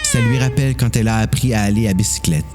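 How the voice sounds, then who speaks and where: A man narrates an audio drama story. His voice is soft-edged and dark, pitched a little low, with faint music underneath as he reads. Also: fast.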